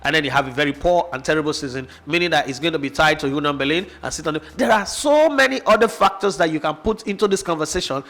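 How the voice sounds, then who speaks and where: A man's voice: fairly high pitch, fast, few pauses, a bit bright. A man with a Nigerian accent hosting a sports show, giving opinionated football analysis and firing off rhetorical questions. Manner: passionate, argumentative, fires rhetorical questions.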